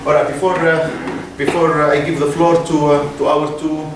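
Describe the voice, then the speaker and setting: A man moderating an event, introducing speakers in an unscripted, halting and hesitant way. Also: fairly high pitch, level tone. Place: university room. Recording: echoey room, distant mic, noisy.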